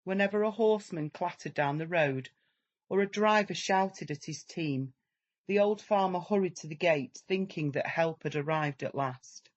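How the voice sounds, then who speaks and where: A man narrating a literary story as a measured, dramatic audiobook reading. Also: on the high side, highs cut off.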